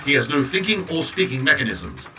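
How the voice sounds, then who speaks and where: A man playing a polite, literal-minded clockwork man in an audio drama, speaking in a measured, formal, stilted way with mechanical whirring. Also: medium pitch, sing-song, noisy background. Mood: formal, matter-of-fact. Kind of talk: full-cast audio drama. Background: music, gear sounds.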